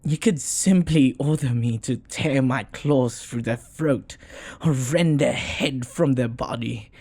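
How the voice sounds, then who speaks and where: Jealous demon boyfriend for roleplay audio, played by a man: mellow, husky, intimate, formal and devoted. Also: medium pitch, takes it slowly, no room sound. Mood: menacing, eager.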